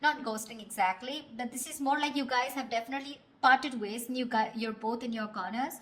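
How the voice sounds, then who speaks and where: A woman's voice: fairly high pitch, fairly quick, fairly bright tone. A woman giving a tarot reading in a casual, conversational way, speaking bluntly and casually swearing. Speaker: tarot reader.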